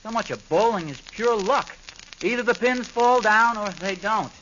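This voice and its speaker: Stubborn, grumbling sitcom dad, high-pitched, self-justifying, on a crackly, muffled old radio recording. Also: male voice, expressive, normal speed, sharp tone. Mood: defensive, huffy. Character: defensive, stubborn suburban husband and father. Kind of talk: old-time radio situation comedy acting.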